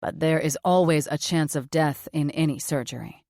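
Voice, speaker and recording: A woman's voice: deep voice, normal speed, a little mellow, dry recording, studio quality, pitched below the performer's own voice. A woman doing a blunt, foul-mouthed male surgeon. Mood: matter-of-fact. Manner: profane, blunt, matter-of-fact. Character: blunt cocky male surgeon, adult man.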